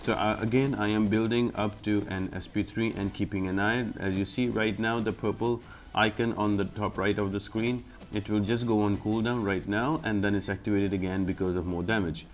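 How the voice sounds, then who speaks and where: A man's voice: on the low side, fairly even intonation, moderate pace, slight background noise. A man giving step-by-step gameplay walkthrough commentary as the host of a guide video, explaining as he plays.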